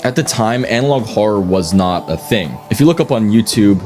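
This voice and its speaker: Male narrator, low register, scripted video-essay delivery, light music bed behind the voice. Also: animated, brisk, a little mellow. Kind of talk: scripted documentary-style narration. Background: background music.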